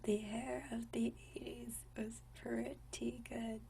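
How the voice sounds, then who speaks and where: A woman playing a gentle, nostalgic century-old vampire in a whispered ASMR roleplay, speaking softly and intimately with unhurried, genteel old-fashioned phrasing. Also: a little high, little pitch movement, recorded from a distance, a little hiss or hum. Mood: quietly reminiscing. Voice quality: soft breathy whisper.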